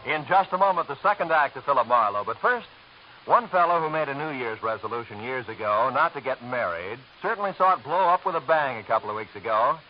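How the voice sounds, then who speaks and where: A male radio announcer giving a smooth network promo between acts. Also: a little high, highs cut off. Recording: hissy old radio broadcast recording.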